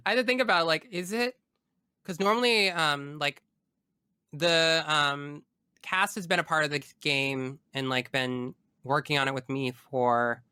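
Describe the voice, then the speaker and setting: Bright, clear-voiced man chatting expressively. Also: mid-range voice, dry recording, clean studio sound. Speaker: podcast guest, tabletop game podcast creator. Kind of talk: casual interview chat.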